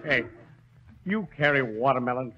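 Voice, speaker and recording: A man's voice: mid-range voice, lively intonation, moderate pace, natural voice. An actor playing an irritated, sarcastic male customer protesting in a 1930s radio comedy. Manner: grumpy, protesting. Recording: noisy, clicky, dull 1930s radio broadcast.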